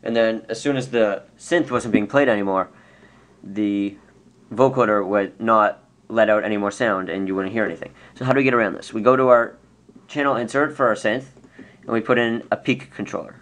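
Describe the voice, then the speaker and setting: A man giving an unscripted, casual software tutorial, explaining step by step and correcting himself as he goes. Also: fairly low pitch, steady tone, fairly quick. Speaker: tutorial presenter. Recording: clear background noise.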